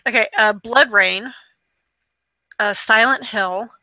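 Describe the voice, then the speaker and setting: A woman's voice: a little high, slow pace, pushed and bright, dry recording, highs cut off. A woman co-hosting a video game show in casual chat, running through a list with wry, dismissive asides.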